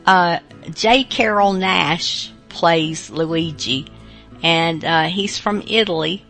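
Low, slow, bright-voiced woman host. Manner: halting, informal. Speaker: radio show host. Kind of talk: casual, unscripted show introduction.

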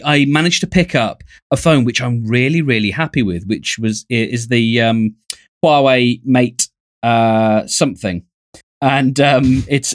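A man's voice: mid-range voice, dry recording, clean studio sound. A man co-hosting a podcast, chatting casually in a thoughtful, discursive way with restarted sentences. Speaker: podcast host.

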